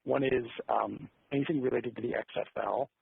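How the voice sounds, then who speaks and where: A man's voice: mid-range voice, fairly quick, dry recording. A man asking questions as a financial analyst on an earnings call, conversational and hedging, over a phone line. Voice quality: gravelly, husky. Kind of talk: spontaneous business questioning. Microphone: telephone. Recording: muffled, narrow phone line.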